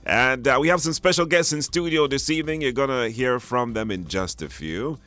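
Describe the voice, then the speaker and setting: A man with a Belizean accent hosting a live radio talk show, speaking in a halting way with repeated phrases. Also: medium pitch, a bit bright. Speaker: radio host. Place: radio studio.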